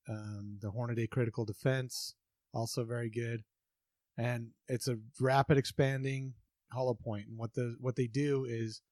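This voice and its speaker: A man's voice: mid-range voice, darkish tone, dry recording. A man co-hosting a casual podcast, explaining things in an easygoing way with many ums and uhs. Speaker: podcast co-host.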